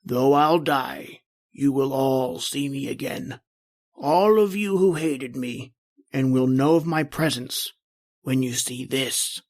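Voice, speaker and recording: A man's voice: mid-range voice, takes it slowly. A man playing a bitter, imperious, dying old uncle in an old-time radio horror play, full of menace. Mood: menacing.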